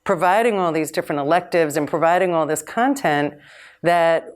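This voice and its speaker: A woman educator with a lower voice that sounds smooth and clear. She talks in a thoughtful, conversational way, leaning on "I mean" and "you know" as she works toward her point. Her delivery is easygoing and steady. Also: normal speed. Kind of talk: casual conversation.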